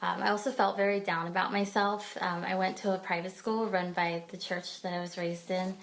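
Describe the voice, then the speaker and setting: Low, flat-voiced woman telling her story. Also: slight background noise. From Pennsylvania, United States. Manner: hesitant, many pauses and fillers. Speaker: guest speaker. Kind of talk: personal testimony in a podcast interview.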